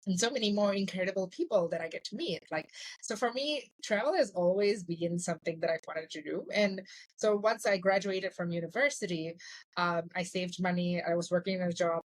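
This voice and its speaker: A woman's voice: fairly low pitch, brisk, dry recording, rough recording. A young woman from Singapore, chatting as a podcast guest and filling her talk with "like" and "you know.". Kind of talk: casual interview chat.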